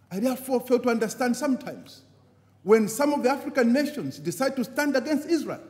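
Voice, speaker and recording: Male convention speaker from Zambia, very high and animated delivery, preacher's cadence, reverberant hall. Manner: preaching cadence, rising on key words and dropping low at phrase ends. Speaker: guest speaker at a party convention. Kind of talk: impassioned convention speech.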